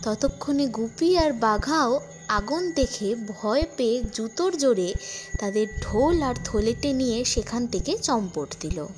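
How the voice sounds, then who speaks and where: A woman narrating a children's folk tale in an expressive, dramatic storytelling manner. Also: fairly high pitch.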